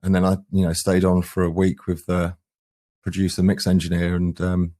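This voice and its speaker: A man's voice: deep voice, monotone, normal speed, mellow. A man answering interview questions conversationally as a band's singer and guitarist. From England. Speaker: band frontman, singer and guitarist.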